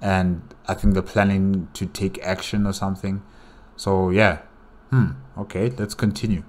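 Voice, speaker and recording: A man's voice: low voice, steady tone, unhurried, a little mellow. A man reacting casually as a host, musing and asking questions aloud as he comments.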